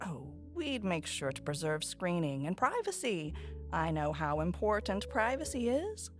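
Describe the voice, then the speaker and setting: A woman's voice: on the low side, big pitch swings, a little slow, faint music behind. A woman acting in an audio drama as a poised, assertive land developer who persuades with polished, theatrical self-assurance. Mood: grandly selling.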